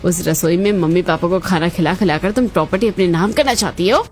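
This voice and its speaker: A woman's voice: fairly low pitch, fairly quick, darkish tone, soft background music, close to an everyday voice. A woman voicing a spiteful, jealous elder daughter-in-law in a cartoon family drama, haughty and taunting. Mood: demanding. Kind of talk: Hindi cartoon moral-story voice acting.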